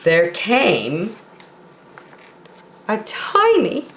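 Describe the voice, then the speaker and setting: A woman reading picture books aloud expressively for children, with measured dramatic pauses, in a roomy space. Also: medium pitch, huge pitch swings, a bit bright. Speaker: children's story time reader.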